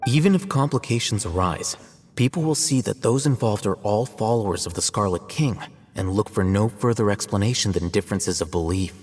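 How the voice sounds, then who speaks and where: A man acts a detached young-sounding scholar from a video game in a low register. He reasons formally and deliberately, weighing each phrase. His voice carries a long reverb, with music underneath. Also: natural voice. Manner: measured, formal, deliberate delivery. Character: cool, analytical, detached male scholar and scribe. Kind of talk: scripted dramatic cutscene dialogue.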